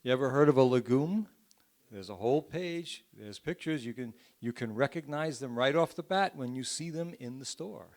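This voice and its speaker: A man's voice: medium pitch, lively intonation, normal speed, darkish tone. A man hosting a church health seminar, recommending a cookbook in a chatty way with filler words. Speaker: seminar host. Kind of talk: informal presentation at a church seminar. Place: church hall.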